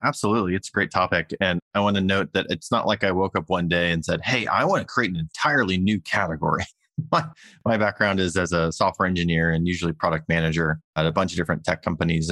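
A man's voice: deep voice, dry recording, studio quality. A man answering as a guest in a podcast interview, telling stories in an easygoing way and acting out what people said. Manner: relaxed storytelling with acted-out quoted asides. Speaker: guest, company co-founder and CEO.

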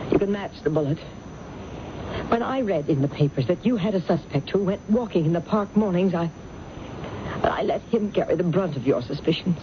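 An actress playing a distraught, halting woman confessing to a killing in a radio mystery drama. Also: lowish voice, lo-fi sound. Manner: halting, emotional delivery. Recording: noisy band-limited old radio recording.

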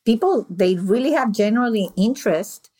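A woman's voice: medium pitch, animated, moderate pace, mellow. A woman host from Venezuela, asking questions in a curious, reflective way. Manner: curious, reflective, restarts phrases. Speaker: podcast host. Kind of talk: conversational interview.

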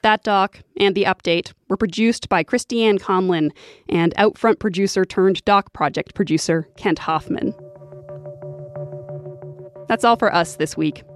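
A woman's voice: mid-range voice, measured pace. A woman hosting a documentary program, reading scripted credits. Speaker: podcast host. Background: music.

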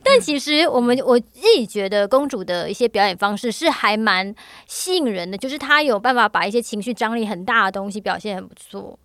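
A woman's voice: mid-range voice, brisk, a bit bright. A woman hosting a casual podcast chat in a playful, teasing manner, with a Taiwanese Mandarin accent. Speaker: podcast host.

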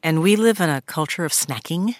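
A woman journalist with a low voice, reminiscing in a radio studio interview. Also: expressive, brisk, dry recording. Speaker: journalist and podcast host, as guest. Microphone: studio microphone.

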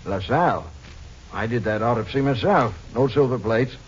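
A man acts a grudging city medical examiner in an old radio mystery. He is skeptical and plain-spoken, with a mid-range, slightly rough voice. The recording is dull and lacks sparkle. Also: medium pitch, normal speed, close to an everyday voice. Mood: matter-of-fact. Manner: questioning, matter-of-fact. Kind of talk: old-time radio detective drama acting.

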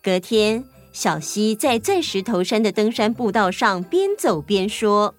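A woman's voice: medium pitch, lively intonation, unhurried. A woman reading scripted narration for a children's audio story. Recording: music under the voice.

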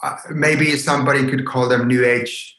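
A man's voice: mid-range voice, steady tone, normal speed, fairly bright tone. A man in his fifties from Finland with a Finnish accent, answering hesitantly as a guest in an interview and searching for words. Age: 50s. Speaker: podcast guest, yoga teacher. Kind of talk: unscripted interview conversation. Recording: roomy echo on the voice.

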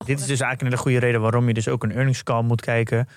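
A man's voice: mid-range voice, little pitch movement, racing, dry recording, studio quality. A man hosting a podcast, summing up points conversationally. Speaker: podcast host. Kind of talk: conversational summarising.

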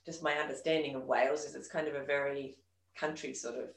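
A woman's voice: very deep voice, steady tone, brisk, gravelly, slight background noise. A woman who hosts a podcast. She chats with her guest and encourages him along. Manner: chatty, encouraging interviewer. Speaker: podcast host. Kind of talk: podcast hosting and interviewing. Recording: echoey room, faint music.

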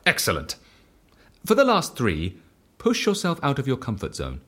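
A man playing an eccentric, self-assured devotee of chance in an audio drama, asking clipped, probing questions and speaking with calm conviction. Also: medium pitch, moderate pace, slightly rough, full character voice. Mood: coaxing.

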